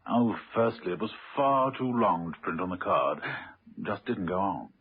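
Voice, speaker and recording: An actor playing a cheerful, courtly, posh British chimney sweep with flowery, hesitant speech in a muffled radio play. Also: male voice, sits low, expressive, moderate pace, breathy edge. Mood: jovial, affable. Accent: upper-class British. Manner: flowery, plummy, genteel and hesitant. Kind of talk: radio drama acting. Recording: muffled old radio recording.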